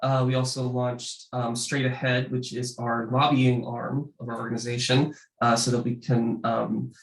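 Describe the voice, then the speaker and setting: A man with a cold, presenting slides for an advocacy group, sniffly and hesitant with many ums. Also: medium pitch. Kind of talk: panel presentation over slides. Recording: echoey room sound.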